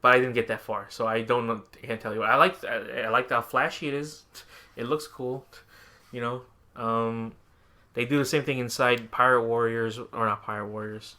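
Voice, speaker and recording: Guy on a gaming podcast, bright forward voice, animated casual chat, swears a lot. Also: medium pitch, moderate pace. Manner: animated, profane, frequently quoting himself. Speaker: podcast host. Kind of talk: casual chat about video games and anime.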